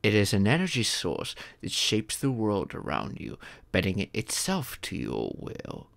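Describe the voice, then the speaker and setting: A man playing a fatherly dragon mentor in an ASMR fantasy roleplay, patiently telling stories and teaching magic in a gentle, measured, instructive way. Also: lowish voice, huge pitch swings, creaky, exaggerated voice. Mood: gentle instructing. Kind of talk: ASMR audio roleplay, scripted fantasy storytelling.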